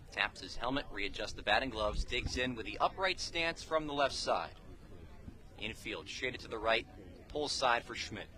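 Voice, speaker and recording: A man's voice: mid-range voice, pushed and bright. A man calling live baseball play-by-play on the radio in clipped, descriptive phrases from an outdoor ballpark. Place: outdoor ballpark, beside the field.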